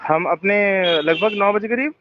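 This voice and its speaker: High-voiced man rambling on a muffled phone call. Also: unhurried, slight background noise. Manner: rambling, defensive, heavy fillers. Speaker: phone caller. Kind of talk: tense phone conversation.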